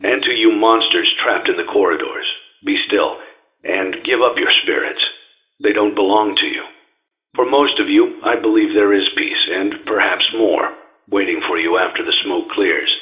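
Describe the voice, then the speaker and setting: An actor playing a solemn, grieving middle-aged inventor in a video game, speaking in a measured, formal, grave way. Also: male voice, on the low side, slightly rough. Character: weary, grieving older father, solemn and menacing, guilt-ridden inventor. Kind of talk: dramatic scripted monologue and taped instructions. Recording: dull lo-fi radio-like tape sound.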